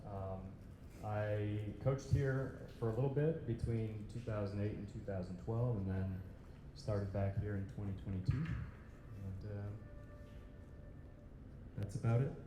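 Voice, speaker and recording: A man's voice: on the low side, steady tone, unhurried. A man, a swim coach who also handles administration, giving a brief, matter-of-fact self-introduction. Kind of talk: self-introduction at a team meeting. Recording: echoey room with background noise.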